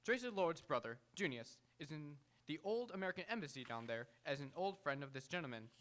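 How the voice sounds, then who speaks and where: Man playing pompous, forceful magazine boss. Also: fairly high pitch, expressive, fairly quick, a little hiss or hum. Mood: bossy, businesslike. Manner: pompous, clipped, oratorical. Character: commanding, grandiose magazine publisher. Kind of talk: live radio drama acting. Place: auditorium stage, live radio theatre.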